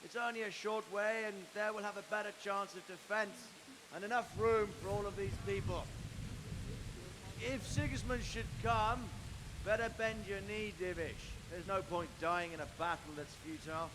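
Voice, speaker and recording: A man playing a gruff, sardonic middle-aged knight lord in a medieval video game, calling out across a distance. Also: high-pitched, moderate pace, lightly acted. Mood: grave, resolute. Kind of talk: dramatic video game acting. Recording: hissy, stormy game audio, reverberant.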